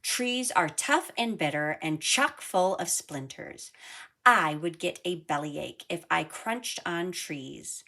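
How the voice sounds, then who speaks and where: Hungry storybook pond creature woman performing: blunt, fussy, emphatic, slow and animated. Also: fairly low pitch, heavily acted. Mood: fussy disgust.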